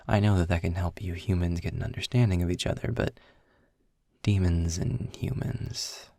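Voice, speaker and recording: Man playing a deep, husky, sardonic demon mentor. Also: mellow, no echo, exaggerated voice. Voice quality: low, husky, gravelly. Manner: dry, sardonic, breathy asides, deliberate phrasing. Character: sarcastic, snarky adult male demon mentor, worldly and proud. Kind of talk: intimate audio roleplay acting, addressed to the listener.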